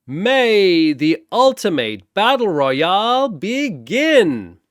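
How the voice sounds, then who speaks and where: A man performs a godlike arena announcer from an audio battle story. He proclaims with theatrical, drawn-out shouts, pitched up and close in a dry space. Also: big pitch swings, no echo, heavily acted. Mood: thunderous, rousing.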